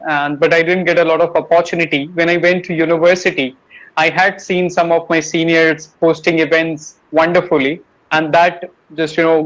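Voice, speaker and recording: A man's voice: a little high, a bit bright, low-quality recording. A young man from Kanpur with an Indian English accent, chatting politely and a bit formally about learning English. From Kanpur, Uttar Pradesh, India. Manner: polite, slightly formal phrasing. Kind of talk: casual online conversation.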